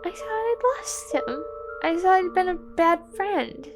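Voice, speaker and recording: A woman's voice: extremely high pitch, expressive, measured pace, over music, pitched above the performer's own voice, heavily acted. A woman playing an earnest, vulnerable young girl, tentative and sincere, in a tabletop roleplay. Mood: touched, fragile.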